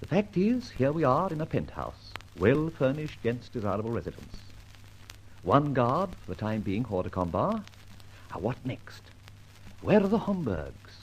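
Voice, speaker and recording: A flippant gentleman adventurer in a vintage radio detective serial. His mid-pitched voice swoops theatrically from line to line, playful and wordy. The old recording carries faint noise. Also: wildly swooping pitch, normal speed, rough recording. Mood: playful, breezy. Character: flippant, dashing gentleman adventurer, the Grey Goose. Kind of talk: old-time radio detective acting and narration.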